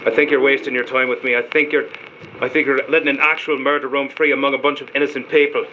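An actor playing a hot-tempered, loyal stowaway prisoner, defiant and emotional, in an audio drama. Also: male voice, medium pitch, level tone, quick pace, bright, forceful tone, highs cut off, slight background noise, natural voice. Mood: pleading, earnest. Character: hot-tempered lower-class stowaway prisoner, fiercely loyal to his friend.

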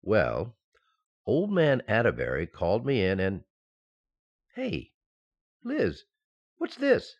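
An actor playing an eager, playful young husband in an old-time radio sitcom, boyish and excitable, on a dull recording. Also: male voice, on the low side, takes it slowly, pauses often, exaggerated voice. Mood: surprised, excited.

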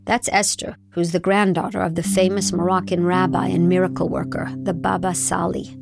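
A woman journalist narrating a documentary story in a quiet, reflective scripted reading. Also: lowish voice, level tone, normal speed, creaky. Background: music bed.

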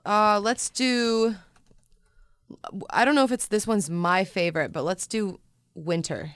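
A woman's voice: medium pitch, fairly even intonation, measured pace, clean tone, no room sound, clean studio sound. A young Canadian woman chatting casually as an interview guest, dryly joking and self-deprecating. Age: young adult. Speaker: musician and writer guest. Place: radio studio.

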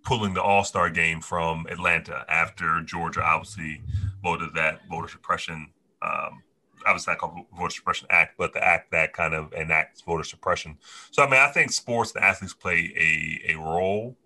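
A man's voice: low-pitched, fairly even intonation, normal speed, bright, forceful tone. A man on a podcast panel, talking casually about sports.

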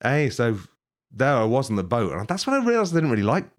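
A man rambling through an improvised mock tour-guide bit, deadpan and absurd, swearing and laughing at his own jokes. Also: medium pitch, quick pace. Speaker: podcast host.

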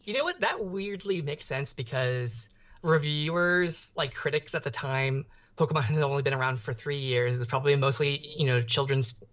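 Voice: mid-range voice, normal speed, a bit bright, highs cut off. A young adult podcast co-host chatting casually about pop culture, rambling and often searching for words.

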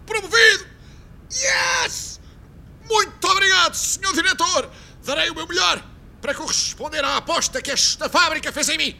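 Um homem a fazer de operário português gabarola e muito estridente, voz agudíssima. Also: male voice, very high-pitched, moderate pace, gravelly, exaggerated voice. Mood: excited. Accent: European Portuguese. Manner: bombastic, excitable, swearing. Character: boastful, foul-mouthed immigrant car-factory worker, excitable man. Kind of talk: exaggerated comedy sketch acting.

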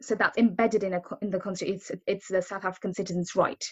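A woman's voice: medium pitch, steady tone, fast, breathy edge, muffled recording. A woman from India whose first language is Tamil, co-hosting a podcast and asking curious questions. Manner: curious, asks many questions, frequent agreeing murmurs. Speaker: podcast co-host. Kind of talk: casual conversational podcast.

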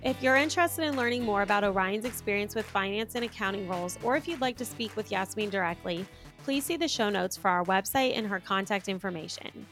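A woman's voice: mid-range voice, a little fast, a bit bright, soft background music. A woman hosting a podcast, reading a scripted intro and then chatting upbeat with her guest. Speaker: podcast host.

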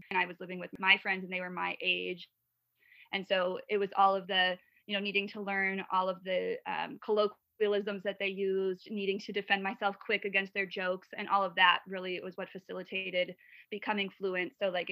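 A woman's voice: on the low side, creaky, no room sound. A woman from Wisconsin, a podcast guest and mother of three, talking at length in a casual interview, rambling with many fillers and restarts. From Wisconsin, USA. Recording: dull lo-fi sound.

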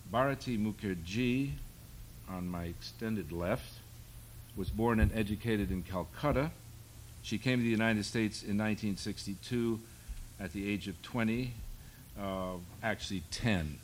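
A man's voice: lowish voice, unhurried, slight background noise, poor audio. Wry older man moderating a panel. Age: 60s. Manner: wry, self-deprecating, rambling. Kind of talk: live panel introduction. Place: public panel event before an audience.

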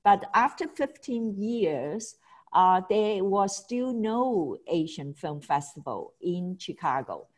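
A woman's voice: mid-range voice, very animated, slow. A middle-aged woman from Hong Kong, guest in a casual interview, talking with enthusiasm, many restarts and small laughs. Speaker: film festival founder, guest.

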